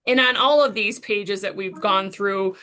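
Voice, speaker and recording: A woman's voice: medium pitch, measured pace, sharp tone. A woman working as a prosecuting attorney, questioning a witness formally and step by step in court.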